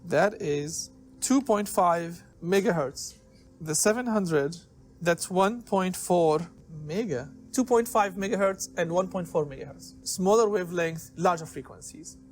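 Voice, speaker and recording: A man's voice: sits high, measured pace, soft background music. A man giving an informal explanatory lecture in a didactic way, posing questions and answering them himself. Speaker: lecturer in biomedical engineering.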